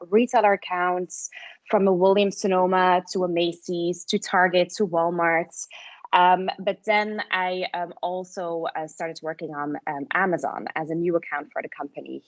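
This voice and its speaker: A woman giving an informal webinar presentation as guest speaker, halting often with ums. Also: on the low side, no echo. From Belgium. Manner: halting, frequent short pauses and ums. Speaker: guest speaker, company founder.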